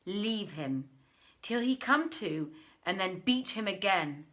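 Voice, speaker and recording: A woman's voice: medium pitch, animated, slow pace, a bit bright. A woman voicing a stern male governor, scornful and commanding, in a storybook reading. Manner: commanding, scolding.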